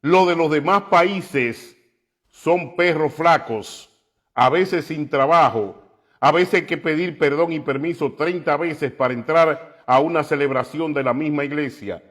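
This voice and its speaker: A man preaching on the radio, slow and emphatic, climbing to loud, impassioned peaks. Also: a little high. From New York. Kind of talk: preaching commentary.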